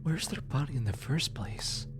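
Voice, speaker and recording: A performer playing a gentle, awkward demon soul retriever in an ASMR audio roleplay, speaking softly and hesitantly, often close to a whisper. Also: mid-range voice, lively intonation, noisy background, natural voice. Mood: uneasy muttering. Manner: hesitant, halting, sighing, muttering to self.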